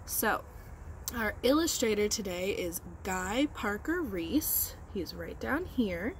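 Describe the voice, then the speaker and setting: A woman's voice: medium pitch, expressive, slow pace, a little hiss or hum. A woman teacher leading storytime for young children, explaining things simply and prompting them with questions. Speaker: early-grade teacher.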